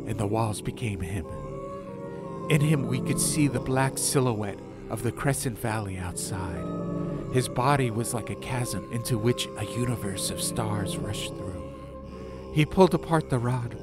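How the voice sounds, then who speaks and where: A man reading an atmospheric fantasy audiobook in a measured, dramatic way. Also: mid-range voice. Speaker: audiobook narrator. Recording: music behind.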